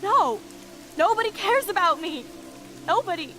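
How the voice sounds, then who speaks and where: A woman's voice: very high-pitched, expressive, unhurried, pushed and bright, a little hiss or hum, exaggerated voice. A woman playing a despairing, hurt teenage schoolgirl in a dramatic video game. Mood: anguished. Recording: game music and rain behind.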